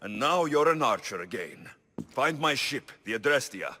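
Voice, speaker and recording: An actor playing a rugged, confident mercenary in a video game, blunt and wry. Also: male voice, medium pitch, big pitch swings, moderate pace, bright, forceful tone, a little hiss or hum. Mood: firm, commanding. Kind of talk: video game cutscene acting.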